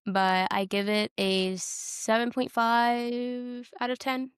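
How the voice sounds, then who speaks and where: A woman's voice: on the high side, little pitch movement, takes it slowly, smooth, dry recording, studio quality. Blunt woman, casual podcast co-host. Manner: blunt, informal, emphatic slang and swearing. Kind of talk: casual TV-review chat.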